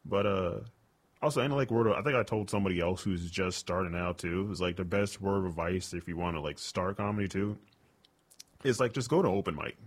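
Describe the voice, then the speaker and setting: A man's voice: low-pitched, brisk. A young man, a stand-up comic and radio host, chatting casually in an interview and rambling with lots of fillers like "like" and "you know".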